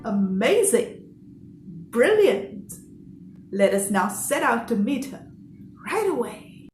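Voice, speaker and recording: Headstrong young storybook king, woman acting: high, slow declamation, commanding, echoey recording with faint music. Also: sing-song. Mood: elated. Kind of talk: acted storybook dialogue.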